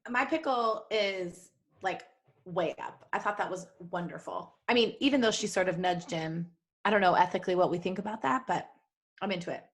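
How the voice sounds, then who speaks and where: A woman's voice: medium pitch, moderate pace. Giggly woman chatting on a live podcast. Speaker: podcast co-host. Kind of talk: casual, joking live podcast chat.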